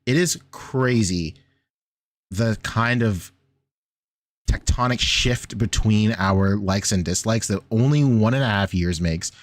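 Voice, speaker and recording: A man in his thirties chatting casually as a podcast co-host, joking, slangy and quick to swear. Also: fairly low pitch, no room sound. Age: 30s. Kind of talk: casual chat about toys and cartoons.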